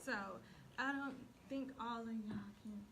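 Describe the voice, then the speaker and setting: A woman's voice: on the high side, fairly even intonation, unhurried. A woman hosting a casual talk show, bantering with guests in a playful, teasing, giggly way. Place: small, crowded room. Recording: noisy small room.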